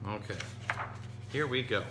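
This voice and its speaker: A man's voice: mid-range voice, measured pace, fairly bright tone. A man hosting as a zookeeper, explaining animals in casual, educational talk. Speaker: zookeeper host. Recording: echoey room with faint hum.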